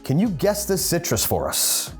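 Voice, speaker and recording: A man's voice: a little high, huge pitch swings, normal speed, music in the background. A man hosting a cooking show, playful and instructive as he talks viewers through a recipe. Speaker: chef and host. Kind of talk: upbeat cooking show presenting.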